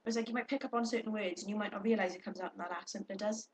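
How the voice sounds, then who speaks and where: A woman's voice: mid-range voice, steady tone, very fast. A young woman chatting casually to her webcam, swearing freely and talking to viewers. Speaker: vlogger.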